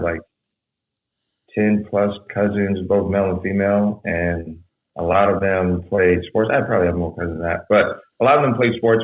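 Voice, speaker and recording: Podcast guest, young man, very low voice, rambling storyteller, phone-like echoey sound. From San Jose, California. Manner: relaxed, rambling storytelling with fillers. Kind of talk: casual conversation.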